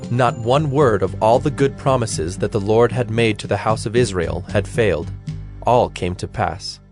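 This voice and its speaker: A man giving a measured, formal narration of scripture as the narrator of a dramatized audio production. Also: mid-range voice. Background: instrumental music with plucked strings.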